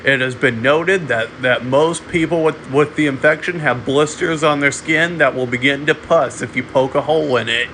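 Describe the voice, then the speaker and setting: A man delivering a mock emergency alert in a halting way, often repeating and restarting his phrases. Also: medium pitch, fairly bright tone, slight background noise. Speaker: amateur narrator of a fictional emergency broadcast. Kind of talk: mock emergency alert announcement, semi-improvised.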